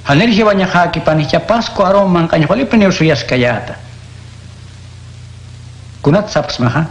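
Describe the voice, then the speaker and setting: Un hombre interpreta a Jesús enseñando con calma y tono instructivo en un radioteatro cristiano. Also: male voice, sits high, moderate pace. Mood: teaching. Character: Jesus, calm adult male teacher. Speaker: voice actor. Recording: echoey room.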